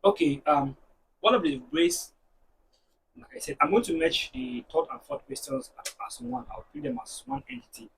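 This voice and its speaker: A man with a Nigerian accent, a married guest on a discussion show, talking in an animated, preachy way and telling stories to make his points. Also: high voice, moderate pace, creaky, distant mic, slight background noise, poor audio. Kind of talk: casual panel discussion.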